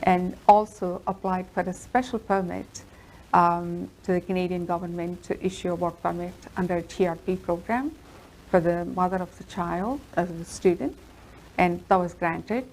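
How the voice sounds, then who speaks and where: Female lawyer guest, Telugu native, low voice, steady earnest telling. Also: normal speed, slight background noise, rough recording. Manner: earnest, steady storytelling with fillers. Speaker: immigration lawyer. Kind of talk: interview answers.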